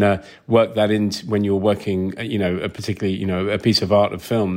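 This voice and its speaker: Lower-voiced man, thoughtful interview guest. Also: darkish tone. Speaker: film sound designer being interviewed. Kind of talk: reflective interview answers.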